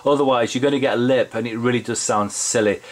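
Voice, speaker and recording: A man's voice: mid-range voice, fairly even intonation, fast. A man giving a casual, rambling tutorial from his home studio, with frequent fillers and restarts. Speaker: home recording hobbyist.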